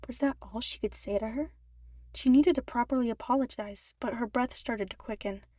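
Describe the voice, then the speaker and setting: A woman's voice: fairly high pitch, normal speed, dark tone, no room sound, clean studio sound. A woman reading scripted story narration for a fan fiction audiobook. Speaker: podfic narrator.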